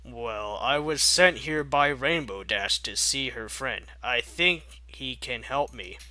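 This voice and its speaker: A guarded fighting man from a fantasy story, as a male reader plays him. He speaks slowly and deliberately, with a forceful, bright edge. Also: medium pitch, dry recording. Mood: awkward, curious. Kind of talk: fan fiction character reading.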